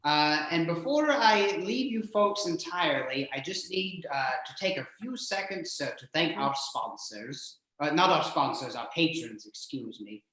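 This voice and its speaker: Male horror-show host, higher-pitched voice, hammy and jokey, heavily echoing room. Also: animated, unhurried, hardly any pauses, fairly bright tone. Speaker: host of a horror radio-play stream. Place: indoors, remote video call stream. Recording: very echoey, boomy room sound.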